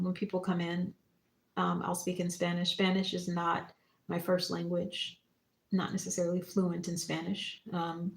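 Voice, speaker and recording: A woman hosting a spiritual livestream, talking casually and reflectively in short phrases and gentle prompts with pauses. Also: on the low side. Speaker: psychic medium and spiritual teacher hosting a livestream. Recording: roomy, echoing room sound.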